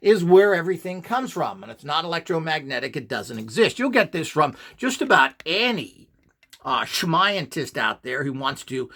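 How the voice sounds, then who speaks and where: A man's voice: on the high side, sing-song, normal speed, creaky, fairly bright tone. A man giving an opinionated instructional talk, scornful and ranting, firing off rhetorical questions. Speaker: radionics instructor.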